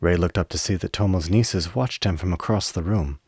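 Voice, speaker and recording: Low-voiced man narrating, dry sound. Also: normal speed, darkish tone, breathy edge, dry recording. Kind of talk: scripted radio play narration.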